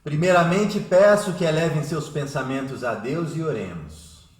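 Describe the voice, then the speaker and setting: A man playing a composed, devout teacher who speaks with gentle, reasoned oratory in a radio drama. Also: fairly high pitch, animated, normal speed, reverberant, lightly acted. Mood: calm, prayerful. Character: composed, devout, soft-spoken Spiritist teacher, man about thirty.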